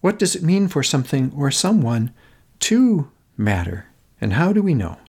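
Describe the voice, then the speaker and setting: A middle-aged man, narrating a personal essay as a podcast host in an earnest, contemplative manner with deliberate, short phrases. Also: a little high, sing-song, darkish tone. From Minnesota, United States.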